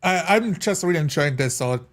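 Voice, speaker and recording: A man's voice: on the high side, expressive, brisk, a bit bright. A man chatting casually as one of the players in a tabletop roleplaying group. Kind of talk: casual chat.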